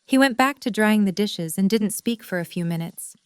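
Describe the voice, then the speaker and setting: A woman delivers scripted story narration. Her voice is mid-pitched, and the sound is close and very dry, with no room echo. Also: moderate pace, smooth.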